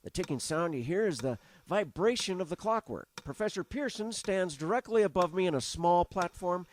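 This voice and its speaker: An animated on-scene reporter, higher voice, vintage radio play. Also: male voice, moderate pace, a little hiss or hum. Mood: descriptive. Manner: vivid, descriptive, animated. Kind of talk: 1930s radio drama acting.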